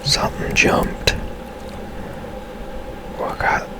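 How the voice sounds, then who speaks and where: Male ASMR gaming streamer; breathy whisper throughout, slow, musing play-by-play. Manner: unhurried, hushed musing. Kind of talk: whispered ASMR game commentary.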